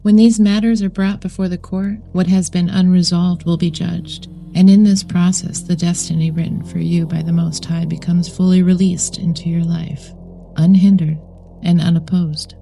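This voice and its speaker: A woman reading a scripted guided meditation in a solemn, measured way, with deliberate phrasing. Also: on the low side, little pitch movement, vocal fry, mellow, slight background noise. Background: background music.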